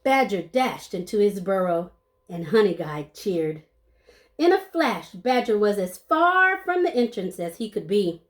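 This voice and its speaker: A woman reading a children's story aloud expressively, playing up the sound words and adding short asides to her listeners. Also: mid-range voice. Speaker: storybook reader.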